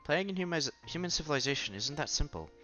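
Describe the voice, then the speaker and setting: A man's voice: a little high, big pitch swings, fast, pushed and bright, lo-fi sound. A man hosting a casual read-aloud playthrough of a visual novel, reading narration and dialogue with occasional stumbles and side comments. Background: background music.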